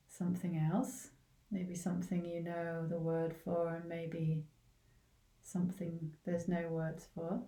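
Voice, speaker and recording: Woman meditation guide, gentle and mellow, lowish pitch, long pauses, roomy echoing recording, distant from the mic. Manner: gentle, unhurried, with long pauses between short phrases. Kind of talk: guided body scan meditation.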